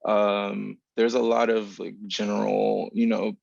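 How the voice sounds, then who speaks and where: Very gravelly, low, even-toned man. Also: no room sound, highs cut off. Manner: deliberate, pausing often, measured. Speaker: panelist, writer. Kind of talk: reflective discussion at a virtual panel. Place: online video call.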